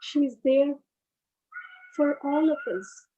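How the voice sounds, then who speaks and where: A woman with a high, mellow voice leads a guided meditation. She speaks very slowly, in short phrases set apart by pauses. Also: fairly even intonation, highs cut off.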